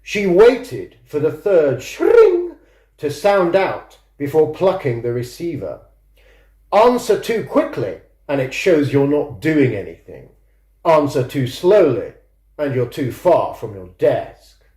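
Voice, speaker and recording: A man who is an author introducing and reading his own fiction in a measured, expressive storytelling manner. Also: on the high side. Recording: roomy, echoing sound.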